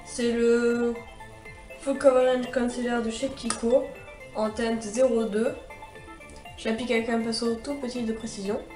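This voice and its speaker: A woman's voice: on the high side, level tone, unhurried, mellow, roomy sound, soft background music. A young woman with a cold and a stuffy nose, chatting her way through a makeup tutorial with many fillers and restarts. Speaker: beauty vlogger.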